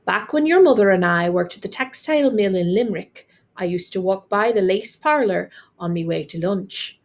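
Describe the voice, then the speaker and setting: A woman's voice: mid-range voice, animated, moderate pace, natural voice. Woman playing an Irish father telling grand tales. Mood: fond storytelling. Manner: theatrical, lilting storytelling. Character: Irish father, warm grand storyteller, wistful romantic.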